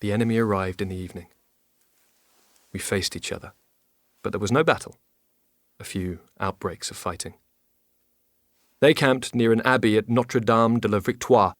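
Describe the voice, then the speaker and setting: A man playing a loyal French duke and military commander in an audio drama, with a measured, formal delivery. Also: fairly low pitch, fairly even intonation, dry recording, studio quality, lightly acted. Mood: grave recounting.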